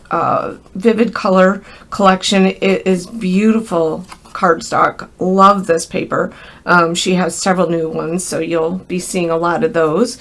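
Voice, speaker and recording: An American woman with a crackly voice from a cold gives a casual, chatty hands-on craft tutorial, speaking directly and in a friendly way to viewers. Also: medium pitch. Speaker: craft instructor.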